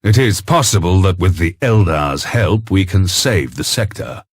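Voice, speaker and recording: An actor playing a grave, authoritative imperial high commander in a video game, husky and weighty, with formal delivery. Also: male voice, expressive, a little slow, dry recording, heavily acted. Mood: cautious approval. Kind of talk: video game cutscene acting. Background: music.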